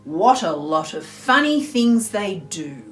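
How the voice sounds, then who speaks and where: Slow, expressive woman storyteller, echoey room. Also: mid-range voice. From Australia. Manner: deliberate, theatrical, addressing small children. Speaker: storyteller, school librarian. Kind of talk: expressive children's picture book reading. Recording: roomy echo, faint music behind.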